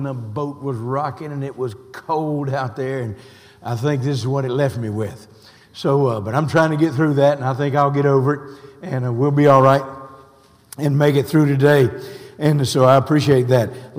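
An older man, preaching a sermon in a folksy, unhurried way with a hoarse, scratchy voice. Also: medium pitch, a little mellow. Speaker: pastor. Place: church sanctuary. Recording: long reverb of a church hall.

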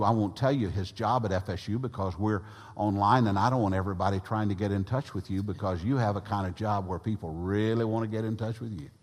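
A man preaching a sermon to his congregation, with a big voice that swings between loud and soft emphasis. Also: lowish voice, breathy edge. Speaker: pastor. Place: church sanctuary. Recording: long reverb of a large church hall.

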